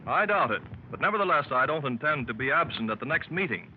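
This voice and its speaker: A man's voice: medium pitch, very animated, fast talker, natural voice. An actor playing a genteel male club host in a 1930s radio drama, speaking in a formal, announcer-like way. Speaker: club president and narrator. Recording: muffled 1930s radio recording with hiss.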